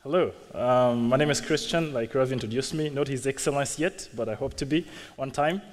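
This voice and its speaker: A man's voice: medium pitch, brisk, slight background noise. A man from Rwanda, an architect, giving a conference talk, hesitant with many ums and uhs and wry asides. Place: large hall on stage. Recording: long hall reverb.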